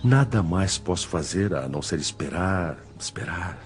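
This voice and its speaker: A Brazilian man playing the sorrowful, self-pitying spirit of a betrayed husband in an audiobook drama, speaking deliberately and in literary phrases. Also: deep voice, lively intonation. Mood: mournful resignation. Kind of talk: dramatized audiobook dialogue, confessional. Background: music.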